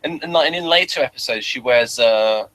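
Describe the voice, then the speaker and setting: Male podcast co-host, forward bright tone, mid pitch, conversational, quick to interject. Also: poor audio. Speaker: podcast co-host reviewing a TV series. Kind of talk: casual chat.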